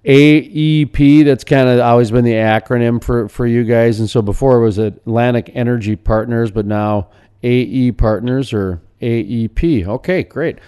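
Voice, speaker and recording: A man's voice: mid-range voice, normal speed, mellow, clean tone. A man hosting a radio interview, asking casual, joking questions. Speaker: radio show host.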